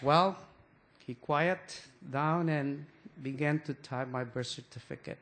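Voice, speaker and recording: Older man, fairly high voice, slow, halting, restarts often, church talk. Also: a bit bright. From Mexico. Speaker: guest church speaker. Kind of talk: anecdotal sermon talk.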